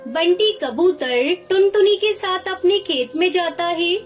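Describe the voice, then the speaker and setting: A woman's voice: very high voice, animated, unhurried, soft background music. A woman reading scripted storybook narration for a cartoon as the narrator.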